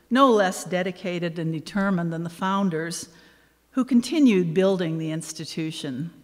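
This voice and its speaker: Older lady around 70 doing a prepared welcome speech, kinda low voice, dry humor, long hall reverb. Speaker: event host, anniversary committee co-chair. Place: on stage in a large hall. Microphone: podium microphone.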